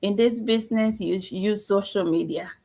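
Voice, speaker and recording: A middle-aged woman from South Sudan, a guest telling her story in an earnest, animated way over a video call. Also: medium pitch, normal speed. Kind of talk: testimonial interview.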